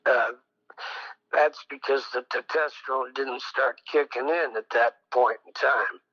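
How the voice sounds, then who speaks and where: An older man, a songwriter, recalling his past slowly in an interview, with many pauses and a fairly high voice. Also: sharp tone, slightly rough, dull audio. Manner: slow, rambling, many ums and pauses. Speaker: songwriter guest. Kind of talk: reflective oral history interview.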